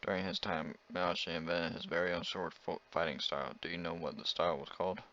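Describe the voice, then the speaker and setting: A man's voice: low voice, fairly even intonation, fast talker, pushed and bright, dull audio. A man voicing a stern history teacher in a visual novel, lecturing and reproving the class. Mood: stern, chiding. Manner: didactic, reproving.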